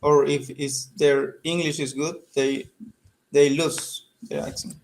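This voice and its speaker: A man whose first language is Spanish, practicing English on a video call, speaking haltingly as he searches for words. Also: a little high, level tone, slight background noise, poor audio. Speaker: English learner. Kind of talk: casual conversation practice.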